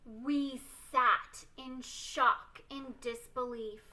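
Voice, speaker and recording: A woman reading a children's storybook aloud expressively, with theatrical, deliberate emphasis. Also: high-pitched, fairly even intonation, sharp tone. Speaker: storytime reader.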